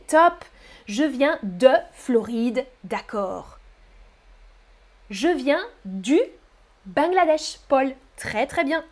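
A woman teaching a live French lesson, reading out viewers' chat messages and praising their answers with repeated encouragement. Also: high-pitched. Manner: slow, careful articulation for learners.

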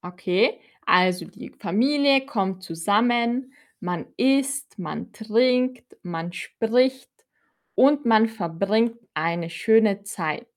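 A woman from Austria, teaching a live-streamed language lesson in slow, clear teacher talk, repeating words for learners. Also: mid-range voice, expressive. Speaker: German teacher.

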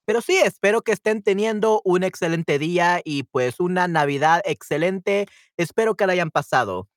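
Chatty Salvadoran man, fairly high voice. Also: moderate pace, dry recording, clean studio sound. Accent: Salvadoran Spanish. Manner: chatty and friendly, mixes in English asides to himself. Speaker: Spanish teacher streaming a lesson. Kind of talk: casual live-stream lesson.

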